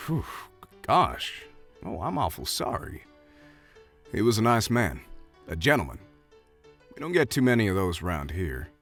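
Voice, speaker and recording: A man's voice: fairly low pitch, very animated, a little slow, creaky, pauses often, soft background music, full character voice. A man playing a friendly, chatty Old West saloon bartender with a hesitant, folksy way of talking. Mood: sympathetic. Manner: hesitant, folksy, soft-hearted. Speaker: voice actor. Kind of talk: audio roleplay acting addressed to the listener.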